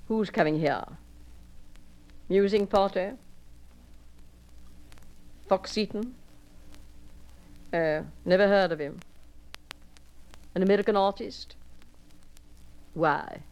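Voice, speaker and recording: A languid, horsey lady, acted by a woman who tosses off clipped, offhand remarks. Her pitch sits mid-range but rises and falls freely. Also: a little mellow, low-quality recording. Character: bored, horsey upper-class Englishwoman. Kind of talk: solo character monologue.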